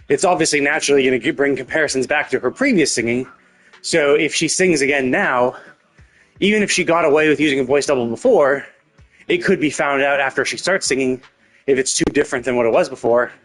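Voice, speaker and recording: Guy streaming a story game, rambles and thinks out loud, mid pitch, bit of music behind. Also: a little fast, poor audio. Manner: mild casual swearing. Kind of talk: casual live commentary on a story game. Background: game music with guitar.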